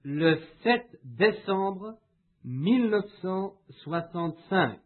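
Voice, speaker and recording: A man reading a sermon aloud and leading a prayer in a measured, unhurried way with frequent pauses. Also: high-pitched, lively intonation. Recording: tinny, phone-like lo-fi recording.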